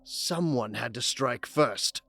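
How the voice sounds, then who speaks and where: A man's voice: medium pitch, slow, vocal fry, sharp tone, soft background music, full character voice. A man playing a defiant vampire council member in an audio drama, emphatic and declamatory.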